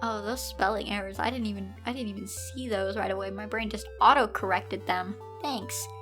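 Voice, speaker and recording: A woman reading a story aloud informally, breaking off for animated, exasperated side comments. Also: medium pitch, moderate pace, faint music behind. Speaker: video host reading fan fiction aloud.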